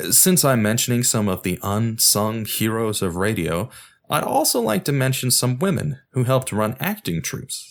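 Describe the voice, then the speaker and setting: A man hosting a podcast, reading scripted narration with wry, informal asides. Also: on the low side. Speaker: podcast host.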